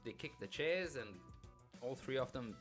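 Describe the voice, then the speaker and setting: A man in his thirties from Afghanistan, a podcast co-host telling his life story in a halting, nervous way with many restarts. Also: medium pitch, animated, faint music behind. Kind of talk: casual storytelling chat.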